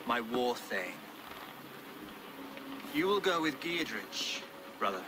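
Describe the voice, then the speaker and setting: An actor playing a pious, measured English king in a game cutscene, stately and dry in manner. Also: mid-range voice, sing-song, noisy background. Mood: dry, composed. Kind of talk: video game cutscene acting.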